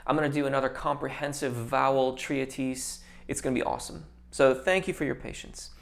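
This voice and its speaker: A man's voice: medium pitch, steady tone, a little fast, creaky. A young man, a voice teacher, hosting a casual live-stream Q&A, reading viewer comments and answering with technical singing terms, with frequent ums and restarted sentences.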